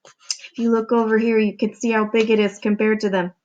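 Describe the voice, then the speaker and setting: High-voiced woman reading a children's story. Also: flat delivery, a little fast, a little mellow, dull audio. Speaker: teacher reading aloud.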